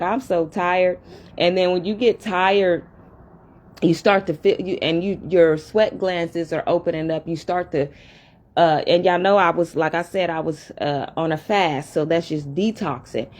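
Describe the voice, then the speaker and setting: A woman talks to her camera in a loose, chatty way, speaking right to her viewers as if they were friends in the room. Her voice sits a little low. She records in an upstairs room at home with a fan running. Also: a little hiss or hum. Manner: chatty, addresses viewers directly and often. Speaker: vlogger. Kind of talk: casual talk to camera.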